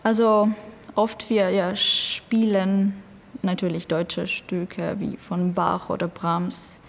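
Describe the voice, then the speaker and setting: Slow-speaking woman. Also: on the low side, little pitch movement, gravelly, long reverb tail, a little hiss or hum. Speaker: music student. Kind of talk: interview answers.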